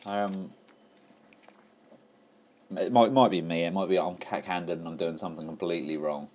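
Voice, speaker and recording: Man, lower voice, upbeat hobbyist reviewer talking through a toy. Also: steady tone, moderate pace, darkish tone. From England. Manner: enthusiastic, chatty, with frequent fillers and restarts. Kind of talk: casual toy review walkthrough.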